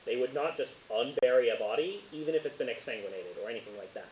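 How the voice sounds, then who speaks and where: A man improvising narration as a tabletop horror game master, casual and halting as he searches for words. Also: mid-range voice, animated, a little mellow. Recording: roomy, dull, hissy home recording.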